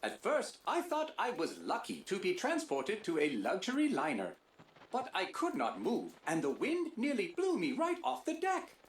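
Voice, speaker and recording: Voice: mid-range voice, wildly swooping pitch, moderate pace. An actor voicing a polite, formal, slightly fretful robot comrade in a video game, with precise, wordy phrasing. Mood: fretful, grateful. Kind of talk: scripted video game voice acting. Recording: roomy echo, faint background noise.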